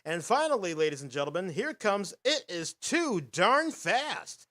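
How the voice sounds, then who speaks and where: A man's voice: high-pitched, sing-song, unhurried, sharp tone. A man hosting a casual video podcast, narrating footage in an upbeat, rambling announcer patter. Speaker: host.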